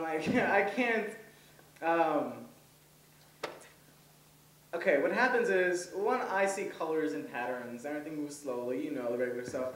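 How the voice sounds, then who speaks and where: Young man, native Hebrew speaker, stand-up comedian, hesitant rambling delivery, slightly high voice, echoey room, distant mic. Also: fairly bright tone. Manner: rambling, hesitant with many ums, laughs at own jokes. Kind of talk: first-time stand-up set. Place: comedy event stage. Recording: roomy, voice from far off.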